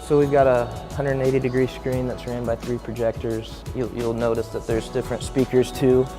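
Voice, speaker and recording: A man giving a casual technical explanation as a facility guide, halting and repeating words. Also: mid-range voice, level tone. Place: simulator room in a racing facility. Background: music.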